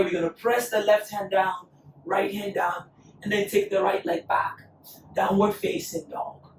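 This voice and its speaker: A woman teaching a yoga class, calmly cueing breath and poses, her voice still recovering after being lost. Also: mid-range voice, slight background noise. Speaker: yoga instructor. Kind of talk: guided yoga class instruction. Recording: echoey room, voice from a distance.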